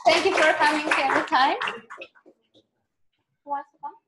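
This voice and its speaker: A woman's voice: high-pitched, fairly even intonation, moderate pace. A young woman with a Romanian accent hosting a student club meeting, speaking hesitantly with many fillers. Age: young adult. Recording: echoey room, faint noise.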